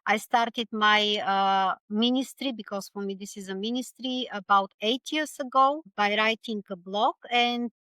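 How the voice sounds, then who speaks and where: A woman from Bulgaria with a Bulgarian accent, a guest speaking earnestly and haltingly in an interview, with many pauses and restarts. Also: mid-range voice, bright, forceful tone, smooth, dry recording. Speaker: podcast guest. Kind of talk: reflective interview conversation.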